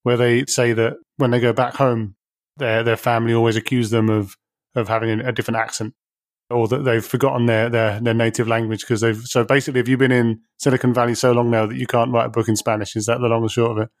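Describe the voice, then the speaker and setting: A man's voice: mid-range voice, flat delivery, quick pace, no echo. Male podcast host, dry close sound. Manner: conversational, joking, rambling questions with fillers. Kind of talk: casual interview questions.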